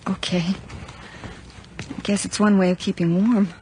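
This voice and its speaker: An actress playing a confused, frightened young woman in a radio horror drama, speaking haltingly in murmurs. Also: mid-range voice, little pitch movement, dark tone, slight background noise, lightly acted, rough recording. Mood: weary, uneasy. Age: young adult.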